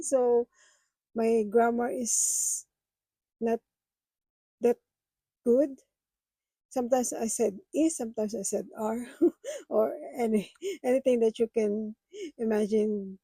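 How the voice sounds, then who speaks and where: A woman whose first language is Filipino talks to her viewers in a slow, unhurried way, often stopping and restarting her sentences. Her voice sits a little high but sounds mellow and breathy. The recording is close and dry, with almost no room sound. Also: dry recording. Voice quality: halting, hesitant phrasing. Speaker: budgeting vlogger. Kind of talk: casual chat with viewers.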